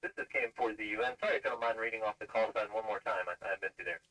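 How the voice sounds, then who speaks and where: A man's voice: lowish voice, racing, bright, forceful tone, far from the mic, rough recording. A man talking as a ham radio operator in a two-way exchange, received over the air and replayed through a speaker.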